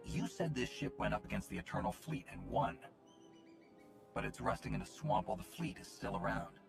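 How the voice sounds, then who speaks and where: An actor playing a commanding, level-headed hero in a video game cutscene, direct and authoritative in manner. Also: male voice, very deep voice, wildly swooping pitch, brisk, creaky, music in the background, heavily acted. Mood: skeptical.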